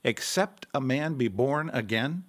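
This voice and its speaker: Bible-study pastor: mid-pitched, expressive, patient yet firm, radio drama. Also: male voice, a little slow. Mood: patient teaching. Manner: instructive, emphatic.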